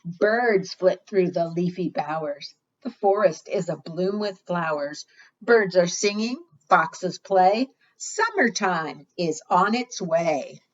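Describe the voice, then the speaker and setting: Woman, children's librarian, lowish voice, unhurried read-aloud, lively swooping intonation, questioning small children, dull lo-fi recording. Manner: expressive, asks the listeners questions. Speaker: children's librarian leading preschool storytime. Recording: dull lo-fi sound.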